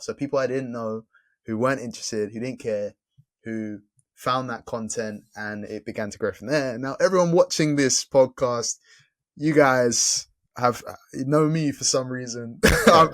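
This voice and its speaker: A man hosting a casual podcast interview, relaxed, laughing often and repeating words as he thinks. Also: medium pitch. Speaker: podcast host.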